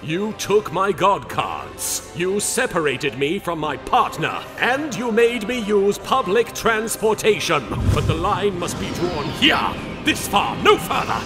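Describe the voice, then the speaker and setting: A proud young pharaoh, played by a man for a cartoon parody, male and fairly high, declaiming pompously with lively swings in pitch. Music runs underneath. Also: unhurried. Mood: defiant. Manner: pompous, dramatic. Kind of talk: parody anime dub. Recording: music behind the voice.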